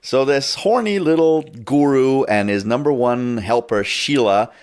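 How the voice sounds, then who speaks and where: A man's voice: medium pitch, a little slow, smooth. A man hosting a talk show, giving a brash, teasing monologue. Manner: brash, teasing, lively delivery. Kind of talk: casual monologue.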